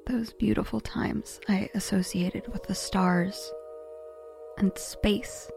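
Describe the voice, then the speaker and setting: A woman's voice: medium pitch, fairly even intonation, slow pace, gravelly, darkish tone, soft background music. An actress playing a blunt, troubled, searching woman biographer in naturalistic sci-fi audio drama dialogue. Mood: wistful, hurt.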